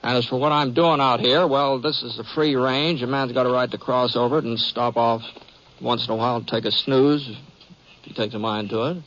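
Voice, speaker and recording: Western radio gunman, male actor: laconic, watchful, unhurried folksy delivery, mid-pitched, on a dull, noisy old recording. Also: steady tone, a bit bright, lightly acted. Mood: laconic, unruffled. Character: easygoing, watchful western cowboy gunman, adult man.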